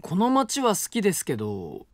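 A gentle, mature university student next door. He talks politely and calmly, with a lively lilt and a touch of teasing. The recording is a dry, close studio take. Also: high-pitched, moderate pace, no room sound. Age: 20s. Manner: soft, polite and calm, lightly teasing. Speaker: voice actor. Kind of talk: voice drama acting. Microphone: close studio mic.

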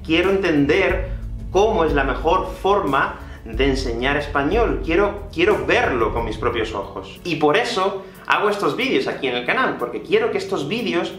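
A Spanish man in his thirties gives a lively online lesson, his voice swinging up and down as he gestures. He repeats key phrases slowly for learners. The small room rings with echo under faint background music. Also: fairly high pitch. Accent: Spanish from Spain. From Spain. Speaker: Spanish teacher. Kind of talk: YouTube language lesson to camera. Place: small room with whiteboard and shelves, indoors.

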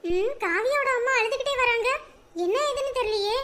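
A woman's voice: extremely high pitch, moderate pace, bright, forceful tone. A woman playing a young village daughter who protests, whining, that she knows nothing. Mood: protesting. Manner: protesting, whiny.

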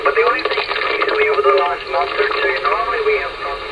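A man's voice: fast, pushed and bright. A man chatting casually as an amateur radio operator, heard through a radio transmission. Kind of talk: casual radio contact chat. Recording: dull noisy shortwave radio reception.

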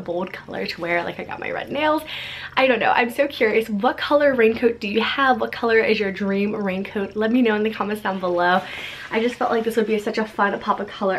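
A woman's voice: medium pitch, slight background noise. A woman in her twenties talking to camera about her outfits, bubbly and chatty with lots of fillers, in a pink-decorated bedroom. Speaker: fashion vlogger. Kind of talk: casual fashion haul talk.

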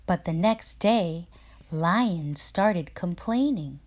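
A woman reading a children's storybook aloud with dramatic, deliberate emphasis. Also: on the low side, animated, a little mellow. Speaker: teacher reading a picture book aloud. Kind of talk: expressive children's storybook narration.

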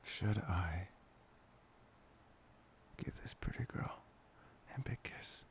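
A young man playing a soft, playful, devoted boyfriend in an intimate ASMR roleplay, breathy, hesitant and often near a whisper. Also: deep voice, takes it slowly. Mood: teasing, playful.

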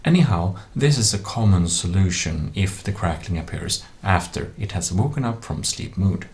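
Deep-voiced man presenting a tech tutorial. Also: lively intonation, moderate pace, darkish tone, a little hiss or hum. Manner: non-native English phrasing. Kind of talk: casual explanatory screen-recording narration. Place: voice-over for a screen recording.

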